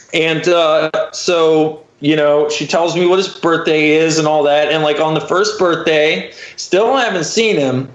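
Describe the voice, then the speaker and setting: A man telling a personal story casually as a podcast guest, candid and profane, peppered with filler phrases. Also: on the high side. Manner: candid, profane, frequent "like" and "you know". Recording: roomy, slightly dull muffled audio.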